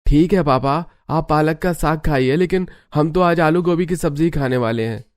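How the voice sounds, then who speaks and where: A performer playing the outspoken grown child of a bickering family in a cartoon, expressive and emphatic. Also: medium pitch, moderate pace, no echo, natural voice. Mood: teasing. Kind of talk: Hindi family cartoon voice acting.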